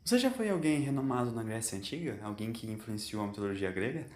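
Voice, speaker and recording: A man's voice: fairly low pitch, lively intonation, fast talker, a little mellow, echoey room, close to an everyday voice. Man doing an earnest, questioning young woman. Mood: curious, sympathetic. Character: curious, confused young woman companion, earnest.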